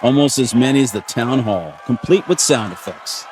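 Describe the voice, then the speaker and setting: A man's voice: mid-range voice, animated, moderate pace, a crowd in the background, noisy background, lightly acted. A man acting in a radio drama as a breezy, wisecracking crewman with a casual, colloquial way of talking. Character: breezy, wisecracking male junior crewman, slightly uneasy.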